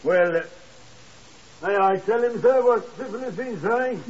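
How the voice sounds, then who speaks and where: Humble working-class night man, 1950s radio drama. High voice, eager, deferential, dull vintage sound. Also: unhurried. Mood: earnest, deferential. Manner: hesitant, deferential, eager to explain. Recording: muffled 1950s radio broadcast.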